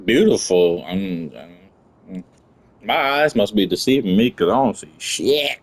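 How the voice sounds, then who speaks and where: Low-pitched, offhand, slangy game commentator. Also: big pitch swings, slow. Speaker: streamer reacting to a game. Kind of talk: casual reaction commentary.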